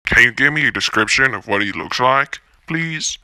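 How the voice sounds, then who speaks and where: A man acts as an emergency dispatcher in a horror audio drama. His voice is bright and forward with lively intonation, and he stays polite and reassuring. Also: medium pitch, moderate pace, clean tone, no echo. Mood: calm. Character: calm male emergency call dispatcher.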